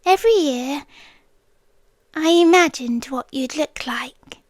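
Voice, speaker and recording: A wistful forest being in the shape of a devoted girlfriend , acted by a woman, with a high British voice. She talks extremely slowly and intimately, often dropping into whispers. It is an ASMR roleplay, close and dry on the microphone. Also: no room sound. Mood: tender, forgiving. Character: wistful, devoted inhuman childhood friend from the forest, yandere girlfriend. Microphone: close, dry studio-style microphone.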